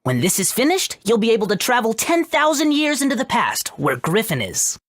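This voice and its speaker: A woman voicing an excitable, friendly little scientist creature in a video game, with a showy, emphatic delivery. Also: on the high side, brisk, no room sound, clean studio sound. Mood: proud, enthusiastic. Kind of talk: English video game voice acting.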